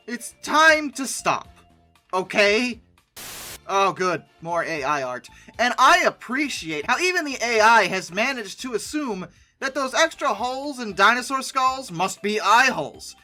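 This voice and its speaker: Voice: mid-range voice, bright, forceful tone. A person giving humorous reaction commentary in a sarcastic, exasperated manner. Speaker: host of a commentary video.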